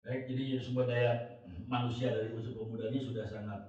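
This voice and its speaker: A man's voice: mid-range voice, level tone, darkish tone, far from the mic, highs cut off. Echoey off-mic male talk show host. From West Java. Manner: measured, clears throat often, sums up guests' points. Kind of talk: formal moderated discussion. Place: studio.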